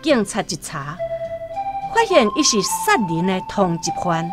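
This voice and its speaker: A woman tells a scripted radio story in a Taiwanese accent. Her delivery is slow and deliberate, with dramatic, wide swings in pitch. Music plays clearly behind her. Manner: expressive, deliberate. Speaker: narrator.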